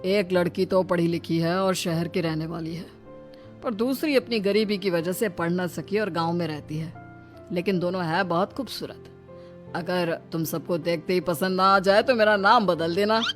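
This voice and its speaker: A woman's voice: lowish voice, steady tone, moderate pace, soft background music, close to an everyday voice. A woman voicing a kindly, joking elder brother-in-law who speaks reassuringly. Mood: friendly. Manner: jovial, reassuring.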